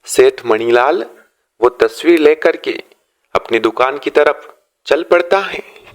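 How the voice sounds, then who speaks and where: Male storyteller, high voice, slow pace, deliberate phrasing, children's moral tale narration. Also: expressive.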